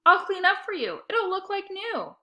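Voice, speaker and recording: A woman's voice: very high-pitched, big pitch swings, normal speed, pushed and bright, pitched above the performer's own voice, exaggerated voice. A woman voicing a bossy, teasing big sister in a children's storybook, competitive and taunting. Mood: sweetly smug.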